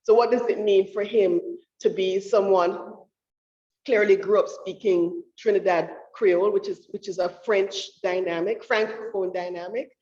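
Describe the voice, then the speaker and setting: A woman giving an academic talk about her research at a book event, speaking in a thoughtful, halting way with frequent restarts. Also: mid-range voice, steady tone, a little mellow. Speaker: featured author at a book talk. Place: university lecture room. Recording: roomy, dull room audio.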